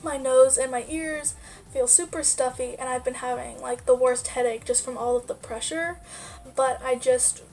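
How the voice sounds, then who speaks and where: Casting: young woman, high voice, nasal and stuffy from a cold, rambling casual vlog chat, faint music underneath. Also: fairly even intonation, moderate pace, a little mellow. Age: young adult. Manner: rambling, lots of "like" fillers. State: stuffy-nosed from a sinus infection. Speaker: vlogger. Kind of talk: casual life-update chat.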